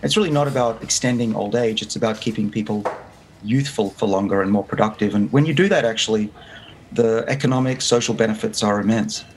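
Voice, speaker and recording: A man's voice: on the low side, brisk, rough recording. A man in his fifties with an Australian accent, explaining his research as an interview guest, earnest and full of restarts. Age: 50s. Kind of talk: remote interview. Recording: faint background noise.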